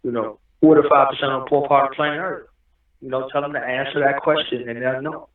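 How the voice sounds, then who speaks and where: A man's voice: mid-range voice, fairly even intonation, fast. A man from Newark who grew up speaking Arabic, explaining things at length as a guest and often asking whether the listener understands. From Newark, New Jersey. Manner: explains at length, keeps asking "you understand what I'm saying". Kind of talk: casual interview talk. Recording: echoey, distant phone-line audio.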